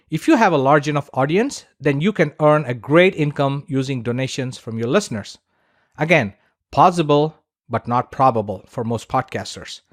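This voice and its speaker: A man's voice: mid-range voice, no echo, studio quality. A man delivering scripted instructional narration as a podcaster and teacher, in an emphatic, persuasive manner.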